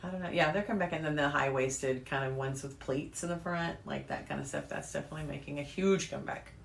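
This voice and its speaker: A woman's voice: low voice, fast, vocal fry. A middle-aged American woman co-hosting a podcast in casual, bantering conversation. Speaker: podcast co-host. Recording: echoey room, faint background noise.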